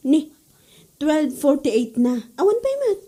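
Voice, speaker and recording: A woman's voice: sits high, sing-song, slow, mellow, full character voice. A woman playing a chirpy teacher in a comedy audio drama, with a Northern Philippine accent. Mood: brisk.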